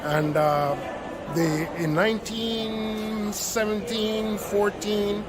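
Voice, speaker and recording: A man's voice: sits high, fairly even intonation, drawn-out words, heavy background noise. An older man from Panama speaking hesitantly about his family roots.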